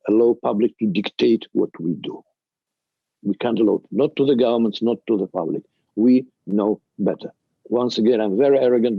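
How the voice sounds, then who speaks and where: An older man with a Russian accent gives a halting, emphatic presentation as a museum director, in non-native English. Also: mid-range voice, slightly rough, no echo, highs cut off. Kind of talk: extemporaneous panel presentation.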